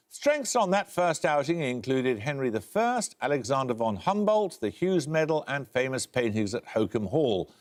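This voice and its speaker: A man hosting a quiz show, reading questions crisply and briskly in a studio. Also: a little high. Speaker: quizmaster. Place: television studio.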